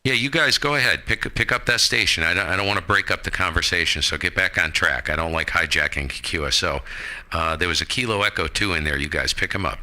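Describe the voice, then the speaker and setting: A man's voice: low voice, a little fast, bright, forceful tone. A man hosting a ham radio live stream, chatting casually with other operators on the air.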